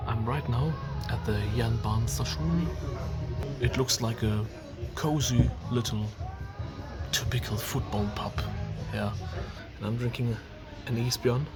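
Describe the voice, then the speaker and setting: A man's voice: mid-range voice, fairly even intonation, a little slow, loud music behind the voice. A man whose first language is German, vlogging casually about his travels, with playful, jokey asides. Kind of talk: casual travel vlog narration. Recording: loud background noise.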